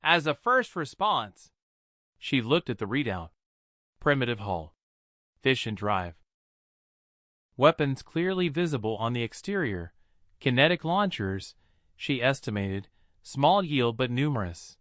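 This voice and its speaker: Animated, bright-voiced male storyteller. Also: medium pitch, no echo, clean studio sound. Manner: dramatic, deliberate storytelling in short phrases. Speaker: story narrator. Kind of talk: scripted science fiction narration with acted dialogue.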